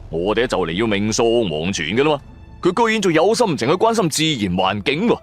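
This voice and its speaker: Male narrator, Cantonese accent, very lively intonation, dramatic colloquial storytelling, faint background music. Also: mid-range voice, brisk. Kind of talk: dramatic first-person supernatural story narration. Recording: music bed under the voice.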